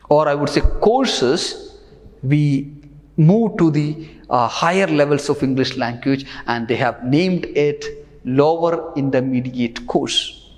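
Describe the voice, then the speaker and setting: A man in his thirties giving a recorded English lecture in front of a screen, gesturing a lot and pausing with many fillers, on a lapel mic. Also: fairly high pitch, big-room sound. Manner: animated, gesturing with both hands, many fillers. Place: studio in front of a large presentation screen.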